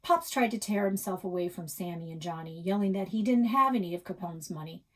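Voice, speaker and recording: A woman reading a story aloud in an expressive, dramatic storytelling style with emphatic pauses. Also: fairly low pitch. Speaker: librarian reading a children's novel aloud.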